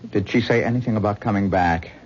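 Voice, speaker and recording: An actor playing a high-strung, anxious stage actor in a radio drama, talking in a pleading, nervous way. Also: male voice, fast talker, natural voice. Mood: uneasy. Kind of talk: 1970s radio acting. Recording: dull old radio broadcast, faint hiss.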